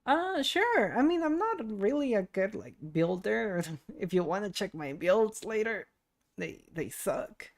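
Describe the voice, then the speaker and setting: A woman streamer chatting casually while she plays a game. Her mid-pitched voice has plenty of creak, and her manner is informal and giggly. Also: sing-song, unhurried. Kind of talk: casual livestream chat while gaming.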